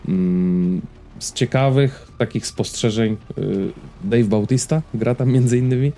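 A man's voice: mid-range voice, measured pace, dark tone, slight background noise. A man giving a casual podcast-style film review as a co-host, searching for words and checking in with his listener.

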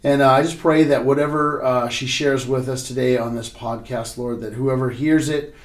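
A man's voice: medium pitch, steady tone, normal speed. A man speaking as a pastor and podcast host, informal, asking simple questions and giving short affirmations. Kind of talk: casual interview and spoken prayer. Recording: roomy, echoey space.

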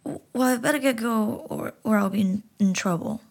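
A woman voicing a shy young boy who stammers and speaks high and eagerly. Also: moderate pace, vocal fry. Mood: timid eager.

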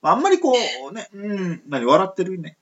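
A man's voice: high-pitched, huge pitch swings, fairly quick, fairly bright tone, husky, muffled recording. A man hosting a casual radio chat, polite and rambling with many fillers. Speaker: radio show host.

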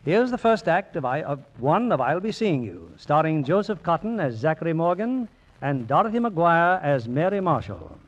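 High-voiced 1940s radio announcer man. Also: sing-song, a little hiss or hum. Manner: measured, formal delivery. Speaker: announcer and narrator. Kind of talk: 1940s radio scripted narration. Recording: dull old radio recording with music.

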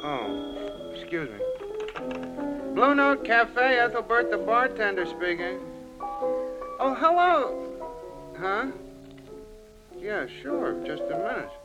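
An actor playing an opinionated, folksy bartender with ungrammatical talk in a 1940s radio drama. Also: male voice, sits high, big pitch swings, takes it slowly, long pauses, highs cut off, full character voice. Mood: casual. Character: plainspoken, opinionated, folksy male barroom bartender. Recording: old radio drama with loud music.

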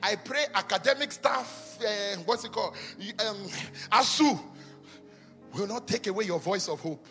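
A man preaching a sermon in a sing-song cadence that swells to shouts and falls to near-whispers. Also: high voice, animated, moderate pace, vocal fry. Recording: long reverb of a large hall with music under.

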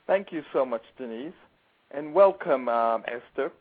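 A man's voice: medium pitch, animated, unhurried, slightly rough. A man co-hosting a show, putting questions to a guest in a friendly, conversational way. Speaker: co-host interviewing a guest. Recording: thin phone-like line.

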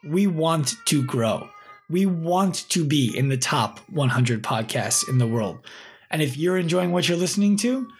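A man's voice: fairly high pitch, lively intonation, a little slow. A man hosting a podcast, talking emphatically and often checking in with "right?". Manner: emphatic, preachy, repeats "right?". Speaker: podcast host. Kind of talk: casual podcast chat.

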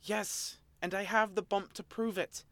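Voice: medium pitch, sing-song, moderate pace, fairly bright tone, no room sound, pitched above the performer's own voice. A reader voicing an angry, boyish male otter creature who snarls and speaks with stiff formality.

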